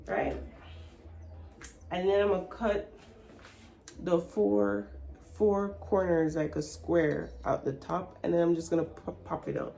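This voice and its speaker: A woman's voice: on the low side, little pitch movement, unhurried, gravelly, pauses often, mellow. A woman in her thirties vlogging casually, sounding stuffy from the flu and laughing at herself as she chats. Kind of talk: casual travel vlog.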